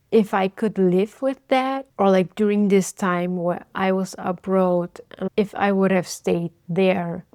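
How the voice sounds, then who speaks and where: A woman in her thirties, originally from Bulgaria, giving a thoughtful personal life update and often pausing to search for words. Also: medium pitch, darkish tone, no echo, studio quality. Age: 30s. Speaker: vlogger.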